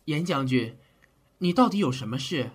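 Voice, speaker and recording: Husky male ancient Chinese physician, acted by a man, lively pitch swings, earnest, caring, easily flustered, audio drama. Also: moderate pace. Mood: concerned. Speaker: voice actor in an amateur audio drama.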